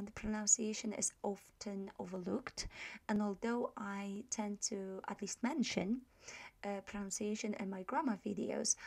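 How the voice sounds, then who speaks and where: Polish lady teaching a pronunciation lesson, lots of uhs and restarts, mid-pitch voice. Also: gravelly, darkish tone. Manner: hesitant, many fillers and restarts. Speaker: language teacher. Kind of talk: online lesson. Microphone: voice-over to slides.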